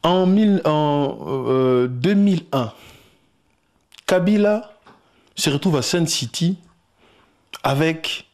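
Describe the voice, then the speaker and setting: A Congolese man debates politics in a raised, lively voice. His delivery swings widely in pitch. He is emphatic and questioning, moving between two languages. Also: slow pace, pauses often.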